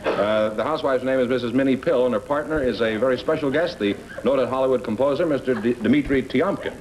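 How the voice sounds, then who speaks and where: A man's voice: medium pitch, fast, noisy background. A man announcing a quiz show, reading out scores and introducing the guests. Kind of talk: broadcast announcing.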